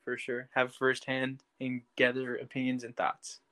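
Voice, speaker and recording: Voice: medium pitch, monotone, a little slow, fairly bright tone. A podcast co-host speaking in a casual conversational style.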